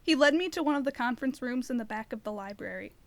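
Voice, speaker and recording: An actress plays an earnest young woman in an audio drama. Her voice is high, and she tells her story in a chatty, expressive way. She sounds curious and determined. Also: fast talker. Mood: wry, curious. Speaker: narrator and lead actor of an audio drama. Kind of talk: audio drama narration and dialogue.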